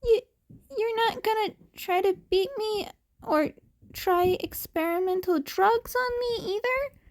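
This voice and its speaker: A woman voicing a small, timid cat-boy in a podfic story, with a quiet, hesitant, pleading voice. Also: very high voice, slow pace, pitched above the performer's own voice, full character voice. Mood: frightened. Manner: hesitant, pleading, breaking off mid-sentence. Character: frightened small cat-boy, timid, wary, cheekily cute.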